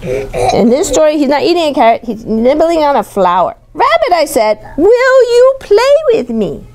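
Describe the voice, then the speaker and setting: A woman's voice: high voice, normal speed, crowd noise. A woman reading a picture book aloud to a young child, expressively, with simple explanations and questions along the way. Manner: animated, simple child-directed phrasing, often repeating and asking questions.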